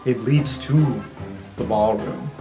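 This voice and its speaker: A man's voice: on the low side, slow pace. Male game master with music behind. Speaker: game master and podcast host. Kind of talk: improvised tabletop game narration.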